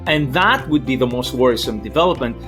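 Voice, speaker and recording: A man from Greece with a Greek accent answers interview questions as a professor, thoughtful and halting as he searches for words. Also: mid-range voice, animated, music in the background. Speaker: interview guest, political science professor.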